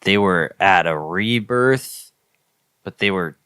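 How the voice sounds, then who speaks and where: Smooth, low-voiced slacker dude. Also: male voice, slow, sharp tone, dry recording, clean studio sound. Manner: laid-back slacker, slangy, drawling with many likes. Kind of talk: casual improvised comedy chat.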